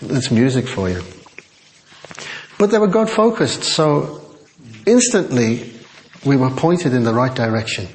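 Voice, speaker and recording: Soft-spoken older preacher. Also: male voice, mid-range voice, sing-song, a little hiss or hum, poor audio. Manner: self-deprecating, chatty, quick to laugh. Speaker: preacher and church musician. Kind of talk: conversational church sermon. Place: church hall with congregation. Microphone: small sensitive microphone.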